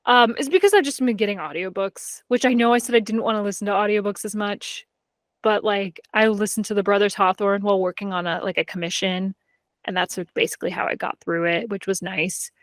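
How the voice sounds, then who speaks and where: A woman's voice: medium pitch, fairly even intonation, brisk, dry recording, clean studio sound. A woman co-hosting a podcast in casual, informal chat, her sentences peppered with "like". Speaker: podcast co-host. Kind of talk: casual chat about books and TV.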